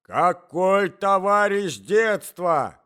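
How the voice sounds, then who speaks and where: A man voicing a fussy, indignant old husband in a story, shouting, repeating himself and sputtering. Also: fairly high pitch, sing-song, normal speed, pitched above the performer's own voice.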